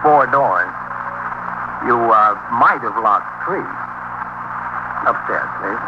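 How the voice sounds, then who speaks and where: Authoritative male police captain, medium pitch, dry and composed, muffled vintage radio drama. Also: expressive, slow. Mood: dry, firm. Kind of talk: 1950s radio drama acting. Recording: very noisy muffled 1950s radio recording.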